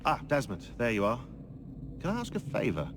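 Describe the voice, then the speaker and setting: An actor playing a bookish British researcher in a video game, chatty and dry with a slightly pedantic, opinionated way of talking. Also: male voice, mid-range voice, wildly swooping pitch, normal speed, background noise. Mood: chatty, wry. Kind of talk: naturalistic video game acting.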